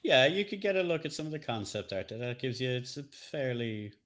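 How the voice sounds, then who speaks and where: A man's voice: mid-range voice, very animated, brisk, a bit bright. A man co-hosting a casual podcast, talking in a chatty, jokey way. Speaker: co-host, streamer.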